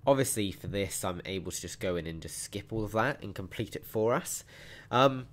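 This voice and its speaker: A young man with a hoarse, croaky voice from a sore throat, chatting upbeat through a mobile game walkthrough with plenty of ums. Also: lowish voice, animated, normal speed. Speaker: mobile game channel host. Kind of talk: casual gameplay walkthrough commentary.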